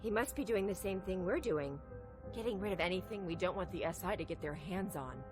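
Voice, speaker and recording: An actress playing a chatty, harried female salon manager with an informal, expressive delivery in a video game. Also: fairly low pitch, a little fast, close to an everyday voice. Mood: harried, explanatory. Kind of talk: video game drama acting. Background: game music.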